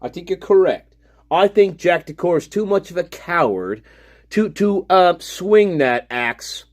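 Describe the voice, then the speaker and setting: A man's voice: high voice, animated, measured pace. A man hosting a livestream, giving profane, heated commentary and repeating himself as he rants about a video he is playing. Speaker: livestream host. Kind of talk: ranting commentary on a played video.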